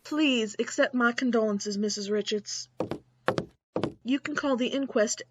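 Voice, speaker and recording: A woman's voice: on the high side, measured pace, a little hiss or hum, lightly acted. A woman playing a wry, matter-of-fact frontier town doctor in a Western radio drama, with a clinical manner.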